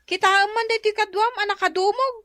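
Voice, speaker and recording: Very high, bright nosy relative. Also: female voice, steady tone, fairly quick, no room sound, clean studio sound. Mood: scolding, teasing. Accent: Ilocano. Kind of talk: comedic radio drama acting.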